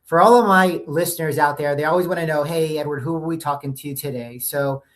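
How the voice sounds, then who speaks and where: A man's voice: a little high, brisk, a bit bright. A man from the United States hosting a podcast, chatting loosely with fillers before introducing his guest. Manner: friendly, loose, conversational with fillers. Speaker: podcast host. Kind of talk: casual podcast interview. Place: Texas, remote call.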